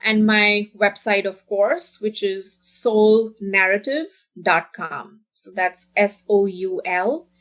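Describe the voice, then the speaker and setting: A woman speaking as a podcast guest in a casual interview, earnest and quick to laugh. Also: mid-range voice, a bit bright.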